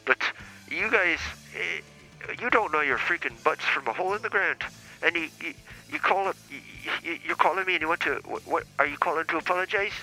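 A man's voice: fairly high pitch, fairly quick, pushed and bright, faint music behind, poor audio. A man voicing a cranky, foul-mouthed older male priest who calls in, blustering and stammering as he accuses the hosts. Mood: indignant. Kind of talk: comedy character call-in.

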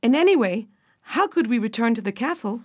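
A woman voicing a young princess, the eldest sister, in a storybook tale, speaking high and raised in a pleading way. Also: lively intonation, brisk. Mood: sorrowful.

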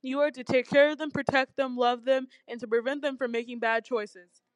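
A woman's voice: high voice, steady tone, a little fast, no echo, muffled recording, lightly acted. A woman voicing a commanding male king of the gods in a storybook, given to bellowing and growling.

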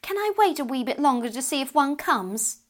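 A curious, dreamy little girl chirps her questions high and bright, as a woman puts her on. She is stubborn and eager, her voice jumping up with every question in a storybook reading. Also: big pitch swings, normal speed.

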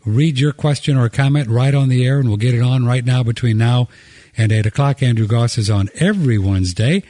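A man's voice: medium pitch, fairly quick, warm tone, no echo. A man hosting a radio talk show, chatty and joking as he gives out phone numbers and introduces his co-host. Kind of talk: casual radio chat. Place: radio studio.

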